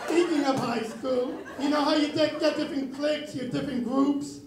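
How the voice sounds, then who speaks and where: Raspy male stand-up, extremely high voice, self-deprecating deadpan, roomy club with a laughing crowd. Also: brisk, noisy background. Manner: self-mocking deadpan delivery. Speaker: stand-up comedian. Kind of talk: stand-up comedy set. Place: comedy club stage. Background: audience laughter.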